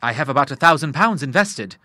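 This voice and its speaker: A man's voice: medium pitch, lively intonation, brisk, bright, forceful tone, no room sound. Man plays a troubled young city man, earnest and formal, dry close-miked read.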